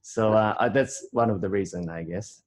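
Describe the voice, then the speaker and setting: A man's voice: lowish voice, moderate pace, darkish tone. A Japanese man with a slight New Zealand accent, interviewing a guest in English in a casual, hesitant way with many fillers and restarts.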